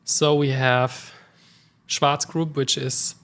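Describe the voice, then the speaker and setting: Man, brisk podcast host. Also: mid-range voice, level tone, a bit bright. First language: German. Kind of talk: casual interview talk.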